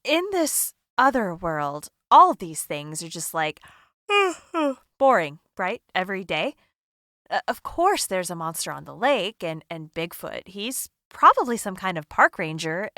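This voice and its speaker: An excitable, curious listener in an audio drama. Her bright voice swings widely in pitch, recorded close and dry. She rambles playfully with wry skepticism, sounding natural and conversational. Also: measured pace, no echo, exaggerated voice. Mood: puzzled, rambling.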